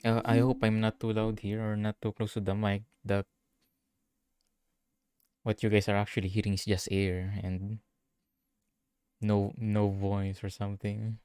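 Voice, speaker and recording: A man's voice: on the low side, flat delivery, a little slow, long pauses, a little mellow, smooth, no room sound, clean studio sound. A man from the Philippines, giving offhand, slangy live gaming commentary over game music. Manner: offhand, slangy, reacting aloud. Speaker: VTuber game streamer.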